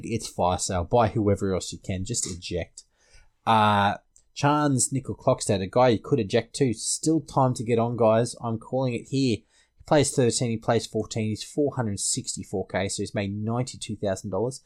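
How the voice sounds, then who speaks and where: A man hosting a casual sports podcast, chatting about fantasy picks. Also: fairly low pitch. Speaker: podcast host.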